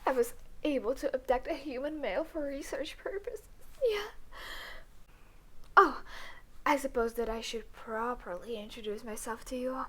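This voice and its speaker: A woman playing a scheming alien who poses as a sweet, awkward human girlfriend in an intimate roleplay audio, cool and halting, with murmured asides. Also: sits high, bright, forceful tone. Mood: smug, triumphant.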